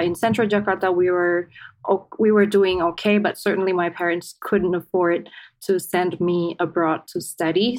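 A woman from Indonesia with an Indonesian accent, talking casually and reflectively as an interview guest. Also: on the low side. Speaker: interview guest, writer and academic.

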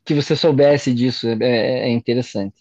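A man's voice: medium pitch, steady tone, moderate pace, darkish tone, dry recording. A man hosts a podcast, asking his guest questions with plenty of repeated words and small jokes. His voice is dry and close, with no room sound. The sound is dull and lo-fi. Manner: hesitant, repeats words, joking. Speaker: podcast host. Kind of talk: casual interview.

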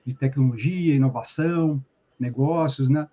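A man's voice: mid-range voice, normal speed, dark tone, highs cut off. A man in his forties with a Carioca accent, a podcast guest talking in a friendly, chatty way full of slang. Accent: Carioca Portuguese. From Rio de Janeiro state, Brazil. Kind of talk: casual podcast chat.

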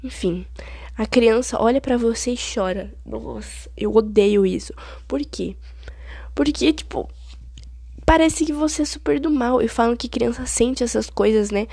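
A woman's voice: on the high side, a little slow, creaky, darkish tone, slightly rough, no echo, clean studio sound. A young woman in her late teens with a Brazilian Portuguese accent, hosting a casual solo podcast while stuffed up with a cold, chatty and rambling with dramatic complaints. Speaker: podcast host.

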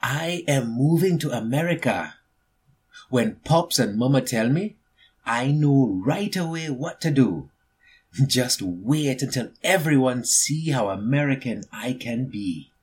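A man's voice: mid-range voice, lively intonation, breathy edge. A man voicing an eager young immigrant boy who earnestly tells his own story in a picture book. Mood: eager, proud. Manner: earnest, deliberate, storytelling emphasis. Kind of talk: expressive picture-book reading.

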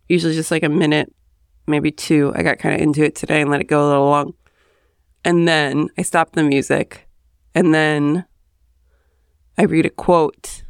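Brief: woman host, just up and hoarse, gravelly edge, smooth resonant tone, rambling chatty asides, dry close sound. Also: low-pitched, no room sound. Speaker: podcast host. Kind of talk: casual solo monologue. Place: home, early morning.

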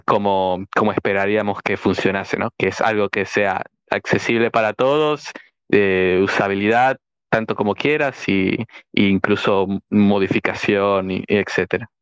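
A man's voice: on the low side, measured pace, a bit bright, clear, resonant tone, dry recording. Venezuelan man with an Argentine lilt. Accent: Venezuelan with Argentine touches. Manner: thoughtful, explanatory, many fillers. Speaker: guest. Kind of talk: casual podcast conversation. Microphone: audio space call.

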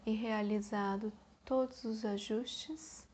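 Slow, mellow Brazilian woman guiding meditation. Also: mid-range voice, fairly even intonation, a little hiss or hum. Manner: slow, soothing, with long pauses between short phrases. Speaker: meditation guide. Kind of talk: guided meditation.

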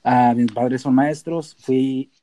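A man with a northern Mexican accent speaks in a mellow, relaxed tone at a middling pitch. He explains things casually and informally as a podcast guest, dropping in the odd English term. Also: slow. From Tijuana, Mexico. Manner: informal, sprinkles in English terms. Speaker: podcast guest, data analyst and researcher.

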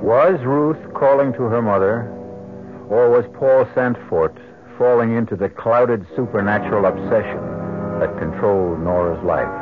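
A man's voice: on the low side, unhurried, natural voice. An American man as a solemn, suspenseful narrator of an old-time radio mystery, delivering scripted dramatic narration. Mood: solemn, ominous. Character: grave, ominous narrator of a mystery tale. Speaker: narrator, host. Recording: muffled lo-fi vintage radio recording. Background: loud dramatic music.

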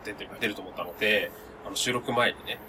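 A man in his thirties chatting casually as a podcast co-host, excitable and gushing, often trailing into half-finished sentences. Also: lowish voice, brisk, noisy background. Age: 30s. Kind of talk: casual chat among friends.

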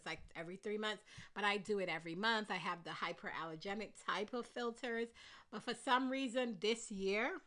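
A woman's voice: mid-range voice, bright, forceful tone. A woman hosting her own podcast, chatting candidly and rambling with many fillers while congested and stuffy. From Caribbean. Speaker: podcast host. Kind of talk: casual solo podcast chat.

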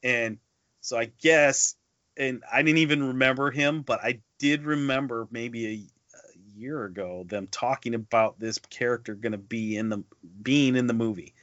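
A man's voice: medium pitch, normal speed, a bit bright, no echo. A man co-hosting a podcast, chatting casually about movies and telling stories in a conversational way. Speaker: podcast co-host. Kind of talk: casual movie review chat. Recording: dull lo-fi podcast audio.

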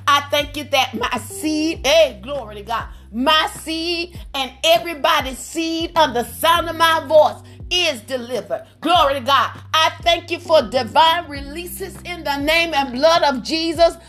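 A woman's voice: high voice, a little slow, hardly any pauses, a bit bright, faint music behind. A woman preacher leading a phone prayer line in a fervent charismatic style, exclaiming praise and interjecting often. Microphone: phone call on a prayer line.

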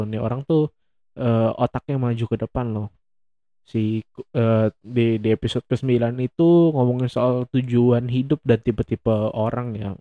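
Young man, podcast host, rambling casual chat, mellow tone, dry muffled sound. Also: mid-range voice, no room sound. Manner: rambling, self-mocking, laughs often.

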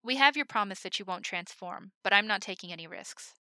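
A woman's voice: medium pitch, lively intonation, fairly quick, bright, forceful tone, no echo. A woman voicing a short, grumpy male soldier captain who snarls and speaks curtly. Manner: curt, snarling.

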